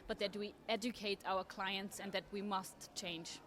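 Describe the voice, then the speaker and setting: A woman from Austria, answering interview questions in a thoughtful, measured way at a table at a design fair. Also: mid-range voice, level tone, a bit bright. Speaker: interview guest, trend forecaster. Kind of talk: conversational interview.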